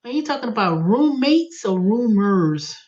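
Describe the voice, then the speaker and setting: A woman in her early fifties with an American accent talks at a medium pitch in a casual, rambling livestream style. She thinks out loud, often repeating and correcting herself. The recording is a little dull and lo-fi. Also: sing-song, a little slow. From United States. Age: early 50s. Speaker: livestream host.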